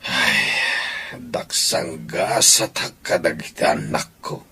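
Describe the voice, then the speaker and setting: A man playing a weary, deep-voiced father. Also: sing-song, slow, creaky, pushed and bright, slightly rough, heavily acted. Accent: Ilocano. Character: struggling middle-aged father, worried and weary. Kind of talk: radio drama acting.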